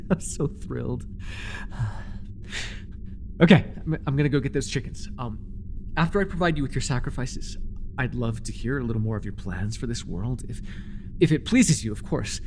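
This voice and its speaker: Man acting a fawning, stammering cult high priest. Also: moderate pace, pauses often, a little mellow, slight background noise, lightly acted. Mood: eager, adoring. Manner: breathy, stammering, reverent and overeager. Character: devoted, fawning male high priest of a dark god. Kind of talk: audio roleplay acting.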